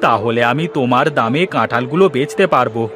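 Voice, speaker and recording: A man's voice: medium pitch, quick pace, fairly bright tone, a little hiss or hum, close to an everyday voice. A man voicing a scheming, spiteful village fruit seller in a Bengali cartoon folktale, exclamatory, over music. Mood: wheedling. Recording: music behind the voice.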